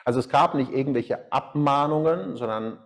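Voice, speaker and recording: A man's voice: medium pitch, moderate pace. A middle-aged man from Iran, speaking as a coach in an online seminar and telling personal stories. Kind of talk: live-stream seminar talk, personal storytelling. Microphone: small lapel mic.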